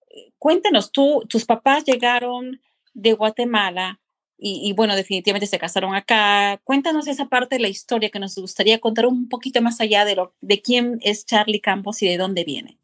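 A woman hosting a podcast, asking questions in a chatty way with frequent restarts. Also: a little high. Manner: warm-up questions with many restarts and fillers. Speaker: podcast host. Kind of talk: casual interview.

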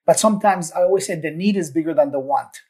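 A man's voice: fairly high pitch, fast talker, mellow. A man from Ecuador, a podcast guest, telling his story in an upbeat, enthusiastic way. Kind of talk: casual interview chat.

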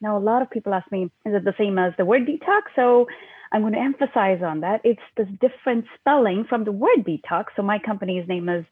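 A woman from India, a guest on a podcast, explains her work in an earnest, steady way. Her voice comes through a tinny, phone-like line that sounds dry and close. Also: medium pitch, quick pace, breathy edge, dry recording. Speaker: guest, small business owner. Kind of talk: interview conversation.

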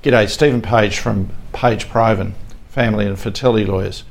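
An Australian man hosting a podcast as a lawyer, explaining informally in a halting, measured way with frequent pauses. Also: on the low side, fairly even intonation, gravelly. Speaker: podcast host, family and fertility lawyer. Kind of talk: informal explanatory monologue with anecdotes.

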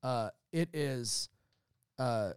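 A man's voice: mid-range voice, extremely slow, clear, resonant tone, no echo, studio quality. A man hosting a podcast in casual banter, rambling, jokey and a bit profane. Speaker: podcast host. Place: recorded at a theater company's space.

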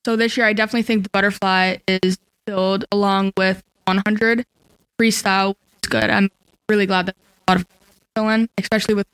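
A woman's voice: mid-range voice, flat delivery, a little fast, fairly bright tone, clean tone, low-quality recording. A woman guest from the swim team answering questions with some hesitant fillers. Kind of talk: interview answers.

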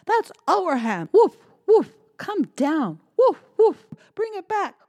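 A woman's voice: big pitch swings, takes it slowly, exaggerated voice. Woman putting on a yelping indignant dog. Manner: barking, shouting high. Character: loyal, indignant male dog.

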